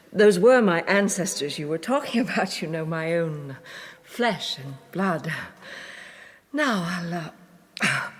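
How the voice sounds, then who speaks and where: An actress playing a cool, self-possessed young countess in a radio drama, genteel and measured, with hesitant pauses. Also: female voice, fairly low pitch, husky. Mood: gracious.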